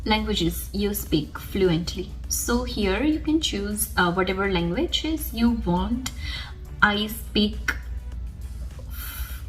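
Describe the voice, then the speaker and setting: A young woman whose first language is Gujarati talks through a screen-recorded tutorial in a relaxed, step-by-step way, her voice sitting at an ordinary middle pitch. Faint music plays quietly behind her. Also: takes it slowly, rough recording. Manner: casual, explains step by step while clicking. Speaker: tutorial host.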